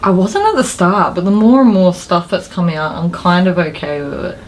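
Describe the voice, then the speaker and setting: A woman's voice: on the low side, brisk, rough recording. Informal woman chatting, noticeable background noise. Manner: informal, slangy, mildly sweary. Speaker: gaming show co-host. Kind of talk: casual chat about games and films.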